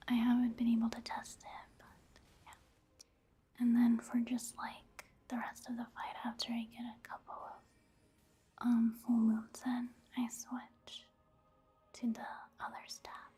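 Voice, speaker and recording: A woman giving soft-spoken ASMR commentary over gameplay in a breathy, hushed whisper, unhurried. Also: fairly high pitch. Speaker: ASMR video creator narrating her gameplay.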